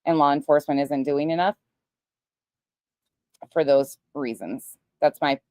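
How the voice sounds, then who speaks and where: A woman's voice: sits low, fairly even intonation, measured pace, a little mellow, no echo. A woman commentating on played clips on an online talk show, casual, opinionated and emphatic.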